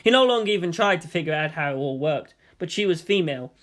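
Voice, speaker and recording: Casting: male fiction narrator, higher-pitched voice, scripted storytelling. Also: lively intonation, fast talker, fairly bright tone. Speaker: audiobook narrator of fan fiction.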